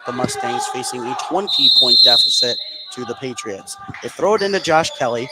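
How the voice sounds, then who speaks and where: A man calling live basketball play-by-play from courtside, excitable and ready to raise his voice on big plays. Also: mid-range voice, moderate pace. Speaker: play-by-play announcer. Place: courtside in a gym. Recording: clear background noise on live broadcast.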